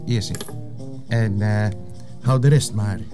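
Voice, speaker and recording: A man's voice: fairly low pitch, slow, music in the background. A man acting a patient, sensible police inspector in a TV crime drama, polite and restrained, with a Flemish accent. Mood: patient, dry.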